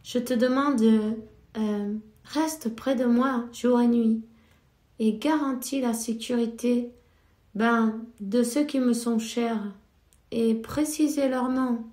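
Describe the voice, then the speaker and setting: Slow, mellow-voiced woman with a cold. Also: on the high side, steady tone, reverberant. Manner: slow, hesitant, many fillers. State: has a cold. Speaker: spiritual card reader. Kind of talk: casual spiritual advice talk.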